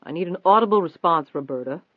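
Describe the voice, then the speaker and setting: A man voicing a persistent, confident newspaper reporter in an audiobook, steady, probing and insistent. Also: a little high, fast, dry recording. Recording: thin phone-like band-limited audio.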